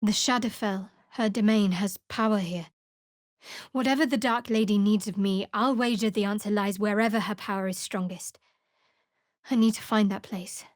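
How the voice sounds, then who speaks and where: A woman playing a guarded, sardonic young cleric of a dark goddess in a video game, speaking in a dry, wry manner. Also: on the high side, no room sound. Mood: solemn, devout. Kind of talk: video game character voice lines. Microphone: close studio microphone.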